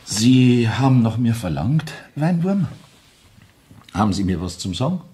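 An actor playing a composed, sceptical police inspector with a Viennese accent, questioning with measured authority in a crime radio drama. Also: male voice, mid-range voice, big pitch swings, noisy background. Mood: brisk, expectant. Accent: Viennese Austrian.

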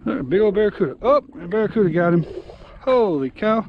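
A man's voice: sits high, lively intonation, brisk, muffled recording. Chatty man on a fishing boat. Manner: easygoing, chatty, talks to the fish and viewers. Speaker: fishing channel host. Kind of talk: casual fishing vlog narration. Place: small open boat offshore, outdoors. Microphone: action camera on the boat. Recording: action camera with wind and water noise. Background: wind and water noise.

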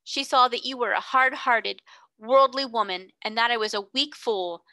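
A woman's voice: animated, normal speed, pushed and bright, no echo. A woman reading voices a timid middle-aged schoolmistress who breaks into tearful hysterics. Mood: hysterical. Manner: tearful, pleading, rising to shrill outbursts.

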